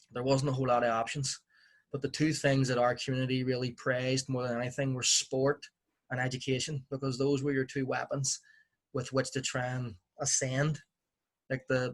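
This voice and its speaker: A man in his forties with a Belfast accent, a podcast guest telling his story in a reflective, wry way. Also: medium pitch. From Northern Ireland. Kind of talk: relaxed interview storytelling.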